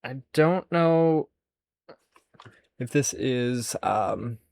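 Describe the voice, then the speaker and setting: A man's voice: medium pitch, lively intonation, extremely slow, vocal fry, a little mellow, dry recording, clean studio sound. A man hosting a casual, unscripted show-and-tell of his collection, rambling and musing aloud as he goes. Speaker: host showing a music cassette collection.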